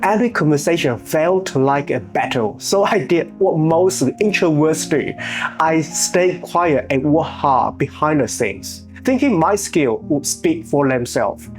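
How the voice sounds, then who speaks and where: A man in his thirties with a Chinese accent, hosting his own channel and giving earnest, measured, scripted motivational narration with small grammar slips. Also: fairly high pitch, lively intonation, faint music behind. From China. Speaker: channel host.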